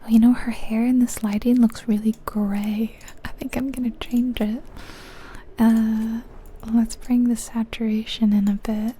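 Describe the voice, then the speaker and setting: A woman whispering softly and breathily in a casual, chatty ASMR style as she comments on a game, gentle and musing. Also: fairly high pitch, level tone, measured pace, slight background noise. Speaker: ASMR channel host.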